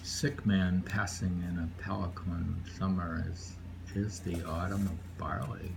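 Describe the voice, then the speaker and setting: A man's voice: deep voice, steady tone, measured pace, gravelly, heavy background noise. A man reading short poems aloud and musing on them casually, often repeating phrases. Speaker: poetry reader.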